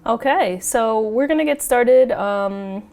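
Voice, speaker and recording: A woman hosting a casual podcast chat, a little raspy as she gets over a cold, chatty and excitable and quick to laugh. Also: fairly high pitch. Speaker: podcast host.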